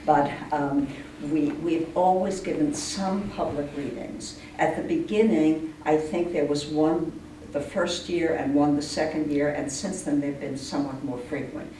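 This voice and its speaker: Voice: medium pitch, steady tone, measured pace, mellow. A person explaining things earnestly in an informal interview, as the leader of a poetry reading group. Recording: echoey distant room recording, clear noise.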